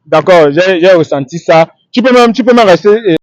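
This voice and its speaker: A man hosting an unscripted street interview, speaking in halting, repetitive phrases. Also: sits high, animated, dry recording, lo-fi sound.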